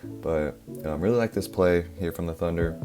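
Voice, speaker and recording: A young man, a little hoarse from a cough, casually breaking down basketball plays with lots of fillers. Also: low voice, moderate pace. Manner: relaxed, filler-heavy, explaining step by step. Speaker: basketball analysis creator. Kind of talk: casual play-by-play film breakdown. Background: background music.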